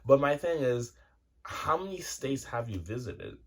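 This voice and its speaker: A man's voice: medium pitch, sing-song, measured pace. A man in his twenties talking casually to his viewers, rambling and cursing freely with lots of restarts and fillers. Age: 20s. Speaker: vlogger. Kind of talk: casual rambling monologue to camera.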